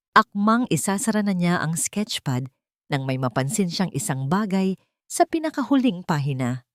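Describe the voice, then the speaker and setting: A woman with a Filipino accent reading a dramatic romance story in a measured, solemn way. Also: deep voice, darkish tone, no room sound, studio quality. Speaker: story narrator.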